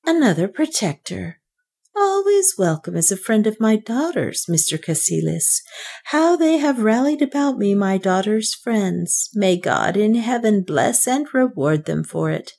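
A sickly old banker in a storybook, as a woman narrator puts him on, speaking in wheedling, unreal tones that jump high and shaky with excitement. His voice climbs well above hers and wavers as he pleads for forgiveness. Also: unhurried, vocal fry, full character voice. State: tremulous, excited. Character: feverish old male banker, wheedling and penitent.

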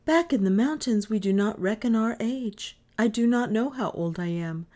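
A woman voicing a calm, hopeful young girl from the mountains in a storybook reading. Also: on the high side, moderate pace, pitched above the performer's own voice, lightly acted. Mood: earnest. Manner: plain, earnest, composed.

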